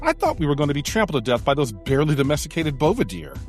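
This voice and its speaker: A woman playing a theatrical, excitable, food-loving adventurer with a flowery, melodramatic way of talking in a sci-fi audio drama. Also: sits low, quick pace, music in the background. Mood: dramatic recounting. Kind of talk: science fiction audio comedy drama.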